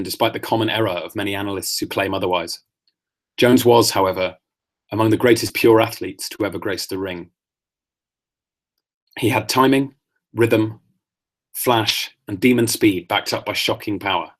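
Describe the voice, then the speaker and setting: A man hosting a podcast, reading his own essays aloud and chatting offhand, his voice getting croaky. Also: lowish voice. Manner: measured reading that turns to offhand asides. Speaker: podcast host.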